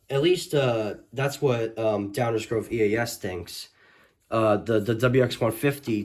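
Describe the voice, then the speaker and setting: A man giving a casual, unscripted show-and-tell as a video host, rambling hesitantly and often correcting himself. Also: on the low side. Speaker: host of a radio collection video. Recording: noisy home recording with clicks.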